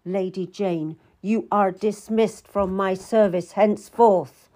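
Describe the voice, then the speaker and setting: A woman's voice: mid-range voice, steady tone, slow pace, warm tone, close to an everyday voice. A woman storyteller voicing a proud, bitter, wronged queen who speaks imperiously and spits out her words with emphasis. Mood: furious, scornful.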